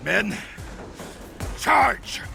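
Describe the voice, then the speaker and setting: An actor playing a sneering mercenary villain in a video game, drawling with mocking courtesy and smug menace. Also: male voice, sits high, big pitch swings, extremely slow, vocal fry, over music, exaggerated voice. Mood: furious. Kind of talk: theatrical video game acting.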